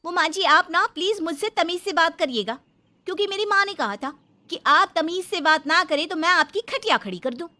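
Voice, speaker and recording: Woman acting a blunt, high-pitched young daughter-in-law. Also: lively intonation, fairly quick, sharp tone, heavily acted. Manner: brazen, mocking, outspoken. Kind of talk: acted comic cartoon story dialogue.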